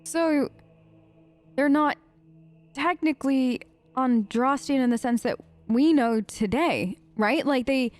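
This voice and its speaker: A woman's voice: sits high, slow, soft background music. A woman streamer role-playing her character in a game, chatty and emotive, with hesitant fillers. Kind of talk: role-play let's play chat.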